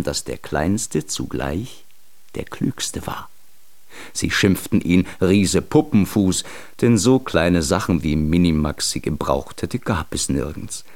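A man narrating a children's radio play as its storyteller, in an expressive, measured, scripted manner. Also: sits low, heavily acted. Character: storyteller of a children's giant tale.

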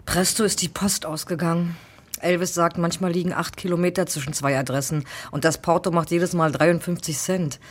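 A wistful colleague telling what she saw in a radio play. Her voice is low and husky. She muses poetically and with sympathy. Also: fairly even intonation, moderate pace. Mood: musing. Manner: musing, poetic. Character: wistful, sympathetic female colleague and witness narrator. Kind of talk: German radio drama monologue and dialogue.

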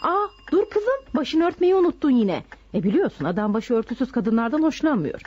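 An actress playing a bossy middle-aged mother in radio theatre, nagging and fussing in a hurried manner. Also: high-pitched, sing-song, a little mellow, a little hiss or hum. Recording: dull lo-fi radio recording.

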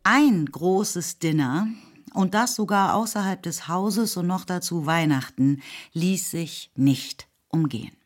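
A woman narrating a radio play in a measured, even storytelling manner. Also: fairly low pitch.

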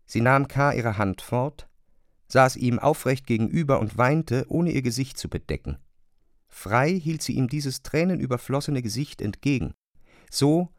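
A man with speech narrating a literary radio drama in a sober, measured storytelling manner. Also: medium pitch, no room sound, clean studio sound.

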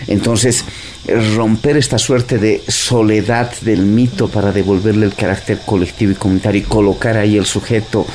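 Male interviewee, low-pitched, formal and analytical, slight background ambience. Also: fairly even intonation, darkish tone. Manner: measured, formal, intellectual phrasing. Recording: faint ambient background noise.

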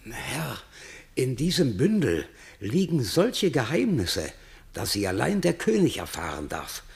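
An actor playing a humble, earnest old peasant shepherd, deferential and plain-spoken, in a theatrical radio play. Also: male voice, medium pitch, wildly swooping pitch, unhurried, gravelly, darkish tone, heavily acted. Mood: deferential, anxious.

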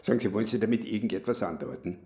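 A self-important, touchy chairman in a radio drama. He speaks insistently with a very animated melody. The room echoes clearly around him. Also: fairly low pitch, very fast. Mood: defensive. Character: pompous, touchy male committee chairman. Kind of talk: naturalistic radio drama acting.

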